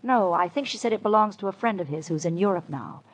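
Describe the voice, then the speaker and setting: A woman's voice: mid-range voice, expressive, fast talker, lightly acted, poor audio. An actress with an American accent playing a cool but anxious young woman, a protective older sister, in an old-time radio detective drama. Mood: worried, explaining.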